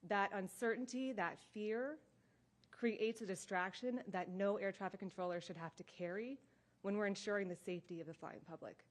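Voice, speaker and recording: An American woman of about thirty to forty-something speaks at a middle pitch. She sounds earnest and deliberate, giving a plain-spoken public statement as a working professional. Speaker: air traffic controller speaking at a press conference. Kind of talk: earnest prepared statement and answers.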